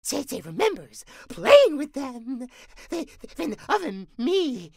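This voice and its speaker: A tiny, childlike imp in a horror audio drama, pitched extremely high. The squeaky voice swoops widely and stammers through babyish words at a slow, theatrical pace, giddy and anxious. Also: female voice, very animated, vocal fry, a little mellow, dry recording, clean studio sound, heavily acted. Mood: sad, fearful.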